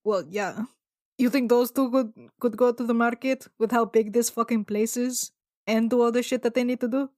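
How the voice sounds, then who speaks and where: A woman's voice: fairly high pitch, steady tone, normal speed, darkish tone, no echo, clean studio sound, natural voice. A woman playing a breezy, foul-mouthed gem expert in a casual tabletop roleplay game, with a drawling manner.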